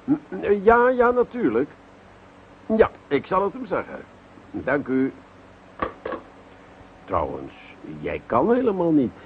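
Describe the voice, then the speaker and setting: Blustering police commissioner, swooping pitch. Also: male voice, huge pitch swings, slow, pauses often, phone-quality sound, exaggerated voice. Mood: gruff, flustered. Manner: gruff, impatient, stammering when flustered. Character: blustering, short-tempered male police commissioner. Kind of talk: old Dutch radio play acting. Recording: noisy, thin old radio recording.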